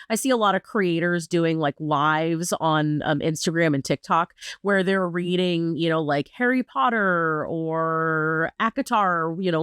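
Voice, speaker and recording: A woman's voice: lowish voice, fairly bright tone, clear, resonant tone, dry recording, clean studio sound. A woman co-hosting a podcast, chatting in an easygoing, wry way and laughing between points. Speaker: podcast co-host. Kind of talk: casual chat.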